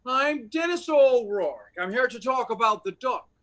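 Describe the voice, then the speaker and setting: A man's voice: very high voice, animated, measured pace, sharp tone, exaggerated voice. A man playing an oily, fussy lawyer for mobsters in an old-time radio drama, mannered, polite and evasively hesitant. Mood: smooth, polite.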